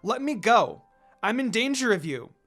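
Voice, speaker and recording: Someone reading as a young anime woman, sad n defiant, very dramatic, pitch goes up and down a lot. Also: sing-song, normal speed, fairly bright tone, faint music behind. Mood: distressed, defiant.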